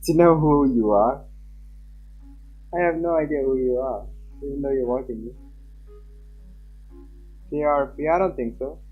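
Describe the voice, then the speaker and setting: A young man rambles casually as he streams a game. His voice is a bit rough and unclear, caught on a slightly muffled mic with music playing behind. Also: medium pitch, measured pace, long pauses. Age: young adult. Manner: informal, chatty, rambling. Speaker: live streamer. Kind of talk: casual game stream chat and reading aloud.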